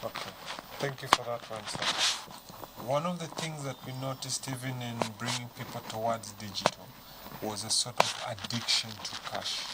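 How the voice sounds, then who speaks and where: A man with a Zambian accent speaking as a panel guest, measured and explanatory, walking through examples. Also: mid-range voice, steady tone. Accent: Zambian English. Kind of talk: studio panel discussion. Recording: noisy studio recording.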